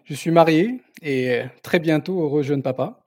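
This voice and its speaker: A man's voice: on the high side, moderate pace, darkish tone, dry recording. Male guest, thirties, Moroccan, Berber mother tongue; hesitant conversational storytelling, close dry recording. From southern Morocco. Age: 30s. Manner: hesitant, repeating words as he searches for phrasing. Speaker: podcast guest, company founder. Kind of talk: casual autobiographical interview.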